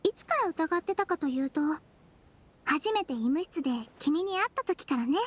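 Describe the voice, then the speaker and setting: A woman voicing a small, composed, kindly nonhuman head nurse in an anime-style game, gentle and matter-of-fact. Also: very high voice, lively intonation, moderate pace. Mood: calm, knowing.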